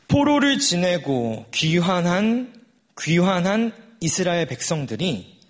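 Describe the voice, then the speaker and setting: Animated male preacher, higher-pitched voice. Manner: conversational, puts rhetorical questions to the congregation. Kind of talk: sermon.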